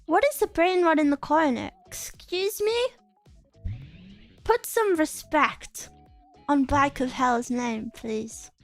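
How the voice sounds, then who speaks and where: A young woman streamer chatting casually with her viewers, self-conscious and giggly, with a scratchy throat she keeps clearing. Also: high-pitched, slow pace, soft background music. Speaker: VTuber streamer. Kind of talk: casual stream chat, reading chat aloud.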